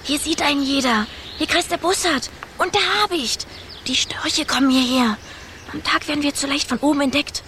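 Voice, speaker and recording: An actress in a children's radio play playing a spirited, adventurous young mouse princess with a brisk manner. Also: female voice, high voice, bright, forceful tone, slight background noise. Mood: warning.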